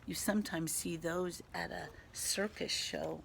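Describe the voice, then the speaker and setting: Slow-paced woman reading to children. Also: on the low side, creaky, slight background noise. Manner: animated, gasping, child-directed explanations. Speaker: teacher reading aloud to young children. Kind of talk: expressive picture-book read-aloud with asides.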